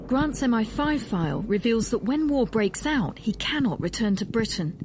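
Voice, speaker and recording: A woman's voice: on the high side, sing-song, moderate pace, heavy background noise. A woman presenting a radio history documentary, moving between scripted narration and interview questions.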